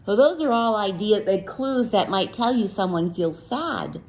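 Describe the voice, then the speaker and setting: Slow, lively-voiced woman reading to children. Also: medium pitch. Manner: playful, gently questioning, sing-song; slow and deliberate, pausing for answers. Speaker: teacher reading aloud. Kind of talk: children's read-aloud with questions.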